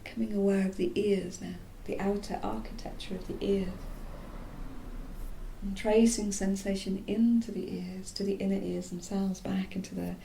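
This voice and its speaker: A woman leads a guided body relaxation in a mellow voice with a gravelly creak. She speaks softly and soothingly, leaving pauses mid-phrase and letting endings go breathy. The room is echoey. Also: level tone. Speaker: yoga nidra teacher. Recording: roomy echo, faint low rumbling noise.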